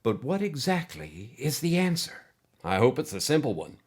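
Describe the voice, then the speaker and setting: Guy doing an earnest space podcast host, super animated pitch, chatty n theatrical, scripted radio style. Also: moderate pace, husky.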